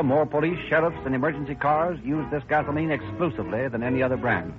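Male radio announcer, emphatic scripted delivery, medium pitch, music behind, old muffled recording. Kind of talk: scripted 1930s radio narration and sponsor read.

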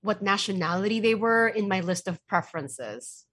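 Bright, husky woman chatting casually. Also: mid-range voice, expressive, normal speed. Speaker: returning show guest. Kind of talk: casual chat.

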